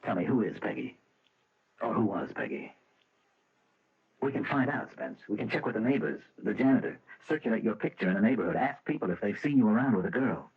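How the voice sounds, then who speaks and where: A man's voice: lowish voice, lively intonation, brisk, husky. An actor playing a probing, exasperated police inspector who presses his questions in an old-time radio drama. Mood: pressing. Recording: thin, phone-like old radio recording.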